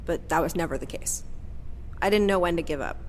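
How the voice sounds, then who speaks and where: An actress playing a defiant, guarded former agent weighed down by family legacy, earnest and bitter, in an audio drama. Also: female voice, on the low side, brisk, darkish tone, slight background noise, natural voice. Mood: bitter, burdened. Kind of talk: audio drama acting with first-person narration. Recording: long hall reverb, clear background ambience.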